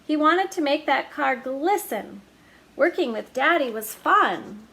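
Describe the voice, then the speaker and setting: A woman storyteller reading a children's picture book aloud in a slow, deliberate way. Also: sits high, lively intonation, creaky, bright, forceful tone.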